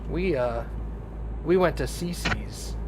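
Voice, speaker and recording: Male streamer host, relaxed chat, a bit higher pitch, faint noise. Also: takes it slowly. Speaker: let's play streamer. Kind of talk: casual game chat.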